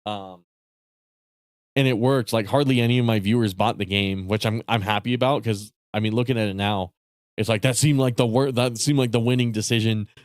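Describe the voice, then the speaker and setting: A man chatting casually with viewers on a live stream, rambling and swearing with lots of fillers, his voice starting to go raspy. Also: fairly low pitch, fairly even intonation, a little fast, no room sound. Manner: rambling, profane, many fillers and restarts. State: voice getting raspy.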